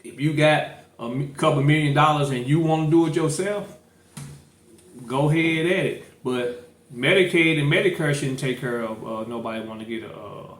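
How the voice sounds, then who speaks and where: A man's voice: fairly high pitch, moderate pace. Rambling man in an echoey room. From St. Louis, Missouri. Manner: rambling, many fillers and restarts. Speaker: podcast host. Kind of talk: casual political chat.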